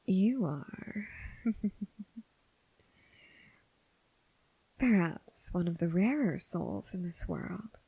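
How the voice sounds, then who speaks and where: Breathy, whispering seductive demon woman, voiced by an actress. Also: on the low side, expressive, mellow, no room sound, full character voice. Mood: hungry menace. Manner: slow, teasing, formal phrasing with long pauses. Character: seductive, menacing female crossroads demon toying with prey. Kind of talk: ASMR roleplay, whispered monologue.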